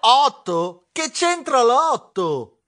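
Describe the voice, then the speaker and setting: A man voicing a curious, slow-witted, chummy animal companion in a children's storybook, with puzzled, drawn-out delivery. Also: very high-pitched, sing-song, a bit bright, voice pushed up, exaggerated voice.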